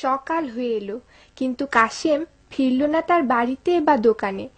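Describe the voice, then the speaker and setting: An actress as a storybook narrator, reading scripted narration for a cartoon. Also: female voice, high voice, lively intonation, moderate pace. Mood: measured.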